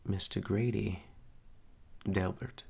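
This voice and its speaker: Casting: male actor as softly ominous, courteous hotel receptionist, ASMR roleplay; very slow delivery, gravelly fry. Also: steady tone, exaggerated voice. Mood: quietly ominous. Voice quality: soft-spoken, low, gravelly. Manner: slow, measured, hushed, politely eerie.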